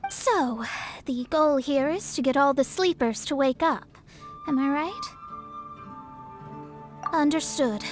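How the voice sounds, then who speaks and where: A woman playing a proud, brisk, self-important young astrologist mage in an anime-style video game. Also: high-pitched, lively intonation. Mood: businesslike. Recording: music behind the voice.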